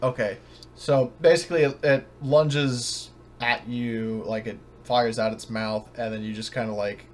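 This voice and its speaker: A man's voice: mid-range voice, steady tone, a little slow. A man running a tabletop game as game master, speaking casually and hesitantly, stumbling as he works out the rules. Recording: noisy home recording of online game session.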